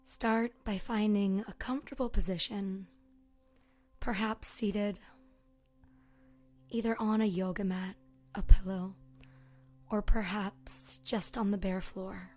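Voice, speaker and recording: A woman guides a meditation in a very slow, relaxed and mellow voice. She is soft and gentle, leaving long pauses and drifting toward a breathy near-whisper. Gentle music with singing bowl tones sits behind her. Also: medium pitch. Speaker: yoga and meditation teacher.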